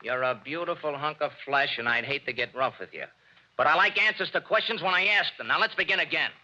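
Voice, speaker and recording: An actor playing a confident, wisecracking male private detective in a 1940s radio drama, talking fast and clipped. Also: on the high side, sharp tone, muffled recording. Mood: threatening.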